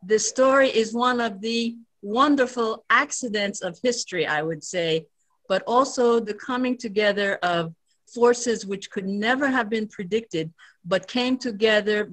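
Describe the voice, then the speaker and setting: An older American woman, an anthropologist, telling her story in a measured way with frequent fillers. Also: medium pitch, a bit bright, low-quality recording. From United States. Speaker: anthropologist guest. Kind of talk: conversational interview.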